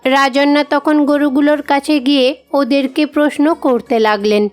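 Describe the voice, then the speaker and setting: A woman's voice: high voice, normal speed, soft background music. A woman reading scripted narration for a children's story as its narrator.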